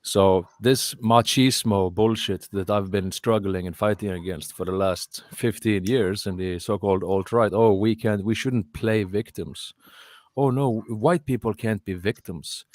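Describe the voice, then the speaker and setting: A man's voice: fairly low pitch, measured pace, a little mellow, no echo. A man with a Norwegian accent ranting casually on an online panel, emphatic, sweary and prone to repeating his phrases.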